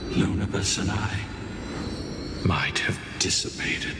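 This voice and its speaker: Ancient loyal guardian spirit for a game, lowish and slow, grave formality in a distant hall. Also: male voice, very noisy. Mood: solemn. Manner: formal, measured. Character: solemn thousand-year-old male spirit guardian, loyal and grave. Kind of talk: scripted video game voice acting. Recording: distant echoey hall under loud music.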